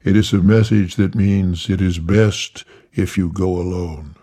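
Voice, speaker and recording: A man voicing a trickster who poses as an archangel, speaking slowly and solemnly with a commanding tone. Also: sits low, steady tone, mellow. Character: trickster posing as a booming archangel. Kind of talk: storytelling.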